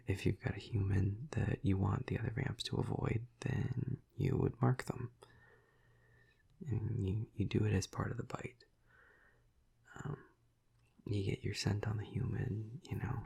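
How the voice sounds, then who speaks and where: A man playing a flirty, protective vampire of high rank in an intimate audio roleplay, speaking softly and hesitantly in a breathy, gravelly half-whisper. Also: steady tone, exaggerated voice. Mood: gentle, explaining. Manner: slow, hesitant, soft, soothing. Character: flirty, protective male vampire, high-ranking in his clan.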